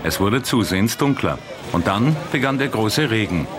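A man's voice: on the low side, animated, moderate pace. A man narrating a scripted radio news report as a reporter, in an archive recording. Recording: archive report with loud outdoor noise.

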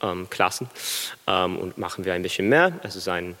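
A young American man speaking hesitant, halting German with an American accent, his voice on the low side. He pauses often and searches for words. A long reverb hangs behind him. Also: animated, a bit bright. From USA. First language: English. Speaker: baritone music student. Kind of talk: live stage interview.

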